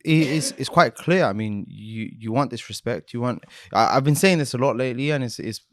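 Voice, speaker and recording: A man's voice: mid-range voice, brisk, a bit bright, a little hiss or hum. A man co-hosting a podcast, talking earnestly in casual chat with lots of fillers and restarts. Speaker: podcast co-host.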